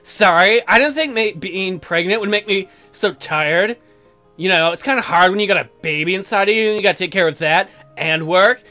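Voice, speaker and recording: Man reading as a high-voiced tired pregnant wife. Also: moderate pace, sharp tone, soft background music. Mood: apologetic, defensive. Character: tired pregnant young wife in a visual novel.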